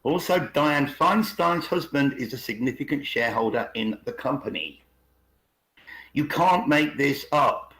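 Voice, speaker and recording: Man in an echoey room, halting talk. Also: mid-range voice, expressive, fairly bright tone. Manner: halting, repeats words, asks for agreement. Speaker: podcast host. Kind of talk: rambling conspiracy talk with reading aloud. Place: online video call. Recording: roomy, echoey video call.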